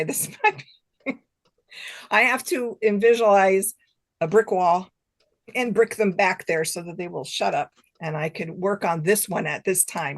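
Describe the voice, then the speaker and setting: A woman's voice: medium pitch, dry recording. Chatty, giggly woman with a dry, close sound. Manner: animated self-quoting, acting out imagined exchanges; giggly, laughs often while talking. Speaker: guest author. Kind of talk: casual interview chat.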